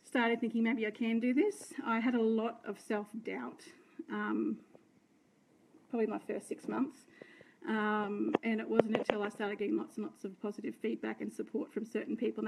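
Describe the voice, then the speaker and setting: An Australian woman in her thirties chatting unscripted on a live phone video from a room at home, her voice a little croaky, rambling and self-deprecating as she tells her story. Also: fairly high pitch, a little mellow, slight background noise, poor audio. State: slightly croaky. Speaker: direct sales team leader. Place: indoor room by curtains. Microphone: phone held close, front camera.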